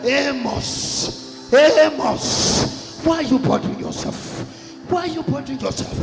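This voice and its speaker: A man giving a shouted prophetic message as if God is speaking, repeating each phrase and wailing. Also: extremely high pitch, measured pace, vocal fry. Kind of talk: ecstatic prophetic utterance in the voice of God. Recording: echoey hall with music and crowd.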